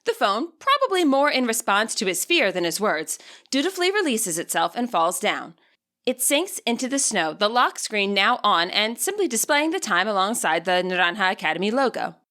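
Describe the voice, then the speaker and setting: A woman reading scripted fiction aloud as an expressive audiobook narrator. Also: medium pitch, normal speed.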